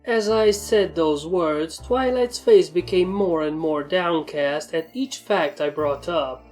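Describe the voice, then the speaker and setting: A woman narrates a scripted story at a very slow pace. Her voice is a little low for a woman. Music plays softly behind her. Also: rough recording.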